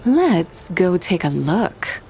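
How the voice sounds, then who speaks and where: A woman playing a calm, enigmatic and wistful wanderer in a video game, speaking in a measured, pensive way. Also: on the low side, over music, full character voice. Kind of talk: English video game voice acting.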